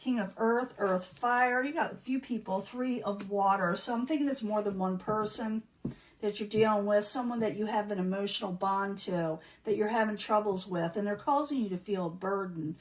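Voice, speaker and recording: A woman reading tarot cards in a casual, chatty way, losing her voice and clearing her throat now and then. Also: medium pitch, moderate pace, far from the mic, slight background noise, low-quality recording. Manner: chatty, repeats herself, many um fillers. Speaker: tarot reader.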